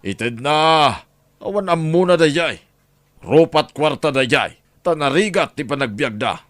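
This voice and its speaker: A man's voice: a little high, animated, unhurried, a bit bright, dry recording, clean studio sound. An actor playing a greedy, scheming middle-aged man in an audio drama, talking in a sly, scoffing way. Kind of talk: radio drama acting.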